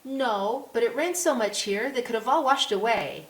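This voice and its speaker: Woman playing a perky, high-voiced little French girl. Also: moderate pace, lightly acted. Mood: insistent. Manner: pert, precocious, talkative. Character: spirited, chatty little French girl, bold and curious. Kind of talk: audiobook character voice. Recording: roomy echo with clear hiss.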